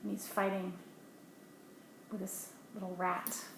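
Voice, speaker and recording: A woman reading a picture book aloud to children, dropping to hushed asides about the pictures between livelier read lines. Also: mid-range voice, little pitch movement, takes it slowly, creaky. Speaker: storybook reader. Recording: roomy echo, faint background hiss.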